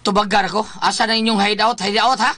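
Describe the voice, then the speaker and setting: A man as a high-voiced grumbling husband. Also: steady tone, brisk, bright, forceful tone, faint music behind. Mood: suspicious, aggrieved. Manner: complaining, nagging. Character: grumbling, long-suffering, suspicious husband. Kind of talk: Filipino comedy radio drama acting and narration.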